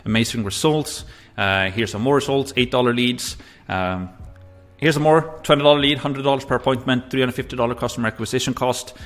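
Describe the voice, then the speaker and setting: A man's voice: medium pitch, brisk, soft background music. Swedish-accented man with a casual teaching tone. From Sweden. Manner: frequent fillers, "essentially" and "right?". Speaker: course instructor. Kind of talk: recorded marketing lesson.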